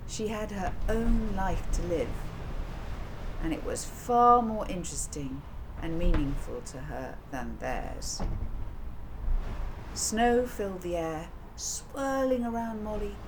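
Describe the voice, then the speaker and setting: A woman reading a children's novel aloud in a measured, expressive storytelling style. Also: mid-range voice. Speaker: author reading her own children's novel. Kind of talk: audiobook storytelling narration. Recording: clear background noise.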